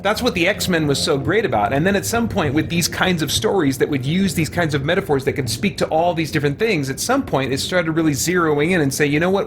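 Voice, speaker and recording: A middle-aged man giving casual live let's-play commentary, musing and thinking aloud in halting asides. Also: mid-range voice, noisy background. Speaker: game streamer. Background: game music.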